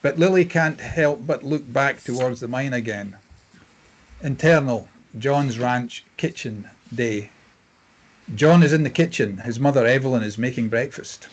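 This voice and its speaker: Man, husky voice, middle pitch, scripted screenplay narration, stage directions. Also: a little slow, rough recording.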